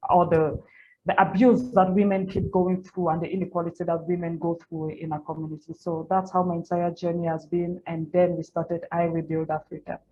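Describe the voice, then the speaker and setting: A woman in her thirties with a Cameroonian accent speaks in a fairly low register. She tells her own story candidly, circling back on phrases to stress them. The sound is slightly dull, with noticeable noise behind her. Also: flat delivery, brisk, a little mellow. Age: 30s. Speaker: interview guest, NGO founder and women's activist. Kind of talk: personal storytelling in an interview. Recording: muffled lo-fi audio with audible background noise.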